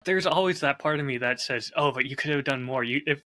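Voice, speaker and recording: A man's voice: medium pitch, brisk, bright, forceful tone. A man co-hosting a podcast, talking candidly and articulately in a casual, reflective, storytelling way. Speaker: podcast host and audio drama creator.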